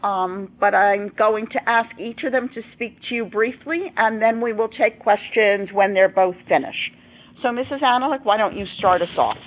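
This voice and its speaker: A woman hosting and moderating an educational program, speaking in a measured, organized way to groups she introduces. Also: mid-range voice, rough recording. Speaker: host and moderator. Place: school room, live videoconference.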